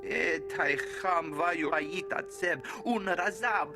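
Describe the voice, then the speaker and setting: A man's voice: a little high, slow pace, music in the background. A man playing an emphatic alien commander in a video game, speaking expressively in an invented language. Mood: earnest.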